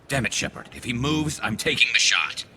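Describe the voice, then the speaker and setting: A man voicing a grim alien sniper in a video game, with a gravelly, brooding voice and dry wit. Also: rumbling low, lively intonation, moderate pace, fairly bright tone, heavily acted. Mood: vengeful, tense. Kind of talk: video game dialogue acting.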